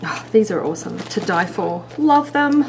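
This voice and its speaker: A woman's voice: medium pitch, animated, moderate pace, a little mellow, slight background noise. A woman with an Australian accent co-hosting a live craft show, bubbly and gushing with enthusiasm.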